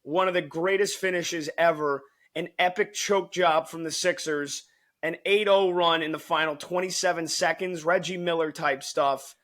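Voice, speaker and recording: An American man co-hosts a sports podcast, talking in an excited, emphatic way and often restarting his sentences. His voice sits a little higher than most men's and sounds worn and a bit hoarse from shouting. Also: normal speed. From United States. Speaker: podcast co-host.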